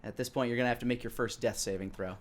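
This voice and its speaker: A man in his thirties runs a tabletop role-playing game as game master. He narrates scenes on the fly in a mid-pitched voice. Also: fairly even intonation, rapid-fire. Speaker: game master and show host.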